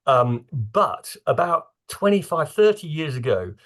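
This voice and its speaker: A man's voice: fairly high pitch, sing-song, a little slow, a bit bright. A man born in Singapore who speaks as a forum guest, introducing himself with frequent fillers and hesitations. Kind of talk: casual online talk.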